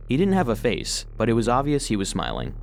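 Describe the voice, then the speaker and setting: A man's voice: medium pitch, huge pitch swings, a little fast, vocal fry, slight background noise. A man playing a gloomy, self-deprecating robot vacuum cleaner, telling his story wryly as narration for a children's audio drama. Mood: storytelling. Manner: wry, storytelling.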